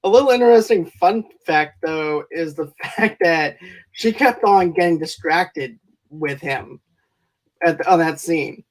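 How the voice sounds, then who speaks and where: A man's voice: fairly high pitch, measured pace. A man hosting a casual movie review podcast, rambling and correcting himself as he goes. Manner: rambling, self-correcting, chuckling. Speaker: podcast host.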